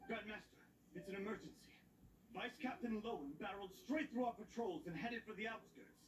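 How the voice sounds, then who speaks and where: An actor playing an alarmed, flustered young knight on patrol in a video game. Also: male voice, high voice, a little fast, reverberant, far from the mic, noisy background, natural voice. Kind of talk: dramatic video game voice acting.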